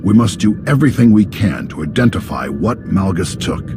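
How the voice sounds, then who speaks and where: A man voicing a grave, authoritative Jedi master in a video game, speaking in a measured, formal way with a gravelly voice. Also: deep voice, animated, music in the background. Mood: grave, troubled. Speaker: Jedi master leading a meeting. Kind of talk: video game dramatic acting.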